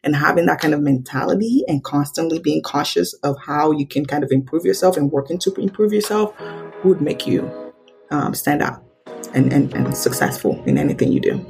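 A young woman from Nigeria, a podcast guest telling stories in casual conversation and acting out quoted dialogue with big jumps in pitch. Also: sing-song, normal speed, gravelly, a little mellow, faint music behind. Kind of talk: casual interview storytelling.

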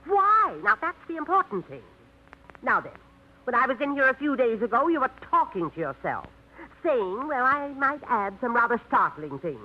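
An actress playing a fussy, prim middle-aged aunt in a 1950s radio drama, prying and fretting, on a dull old radio recording. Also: female voice, high voice, big pitch swings, normal speed.

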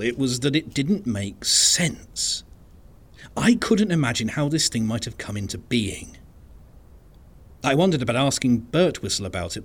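Low husky guy reading a creepy first-person story, gravelly, slow and uneasy. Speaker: narrator. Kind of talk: scripted first-person fiction narration.

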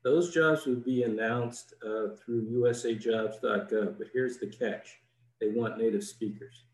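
A man, a diplomat guest, answering questions in a plain, measured, explanatory way over a video call. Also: medium pitch, fairly even intonation. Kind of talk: informative answers in an interview. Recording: roomy echo.

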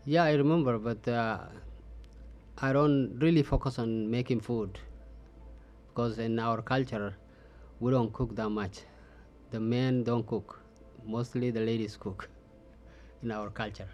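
A middle-aged man from Ethiopia whose first language is Oromo, answering interview questions in a halting way with many pauses and fillers. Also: medium pitch, steady tone, darkish tone, lo-fi sound, soft background music. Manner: halting, frequent "uh" fillers, simple phrasing. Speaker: interview guest.

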